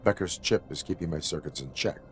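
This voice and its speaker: An actor playing a stern cyborg police officer in a video game, speaking stiffly and formally. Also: male voice, low-pitched, brisk, soft background music, natural voice. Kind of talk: video game cutscene acting.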